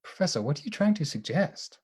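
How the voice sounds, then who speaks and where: An actor playing an impatient, plain-spoken doctor in a radio play, bluntly demanding answers. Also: male voice, a little high, big pitch swings, brisk, darkish tone, husky, exaggerated voice. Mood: guarded.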